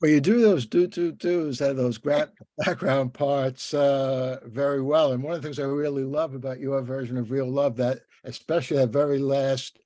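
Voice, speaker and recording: A man in his seventies hosting a conversational interview, speaking in a halting, thoughtful, rambling way with many restarts. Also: mid-range voice, fairly even intonation, mellow, no echo. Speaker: interviewer and host.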